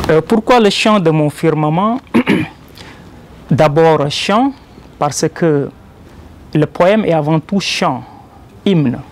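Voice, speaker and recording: A man with a Senegalese accent, a poet speaking in a measured, deliberate way with pauses between short phrases. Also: on the high side, gravelly, darkish tone, slight background noise. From Joal, Senegal. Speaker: poet presenting his own collection. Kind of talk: poetry recitation and explanatory talk about it.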